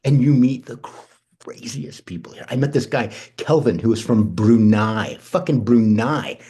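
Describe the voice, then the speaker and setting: A man acting the part of a brash, charming, slangy cousin with a husky voice in a screenplay read-through. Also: mid-range voice, measured pace, warm tone. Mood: chatty, animated. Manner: slangy, cocky, nonchalant. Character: Benji, brash, charming, restless, foul-mouthed male cousin. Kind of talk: informal screenplay table read, slangy naturalistic dialogue.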